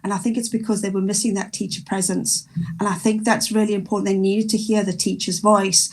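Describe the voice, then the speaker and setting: A woman's voice: mid-range voice, brisk. Chatty South African woman teacher. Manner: chatty, animated, many restarts. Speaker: primary school teacher. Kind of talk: online panel discussion.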